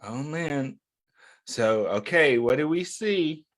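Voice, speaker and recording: A man's voice: fairly high pitch, animated. A man hosting an interactive read-aloud for young children, speaking encouragingly and putting questions to his listeners. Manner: encouraging, addresses listeners with questions and pauses for answers. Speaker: children's story time host.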